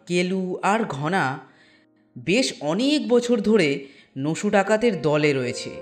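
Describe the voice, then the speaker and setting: A man narrating a scripted horror story as storyteller, with deliberate phrasing. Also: high-pitched. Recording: music bed behind voice.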